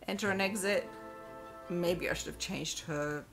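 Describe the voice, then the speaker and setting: A woman talks casually through a mobile game, reading out prompts and musing to herself. Her voice sits a little low, and her English carries a wandering, mostly Australian accent over an Eastern European first language. Music plays clearly beneath her. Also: unhurried. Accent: mixed Australian English. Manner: chatty, reads game prompts aloud, muses to herself. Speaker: let's play host. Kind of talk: casual gameplay commentary.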